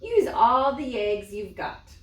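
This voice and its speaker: A woman's voice: on the high side, sing-song, slow pace, pitched above the performer's own voice. A woman voicing a self-assured village rabbi who makes emphatic pronouncements in a children's storybook read-aloud. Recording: echoey room, distant mic.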